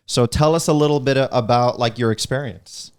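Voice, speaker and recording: A man hosting a podcast, introducing a guest and asking questions in a measured, deliberate way. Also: mid-range voice, clean tone, dry recording, studio quality. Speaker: podcast host.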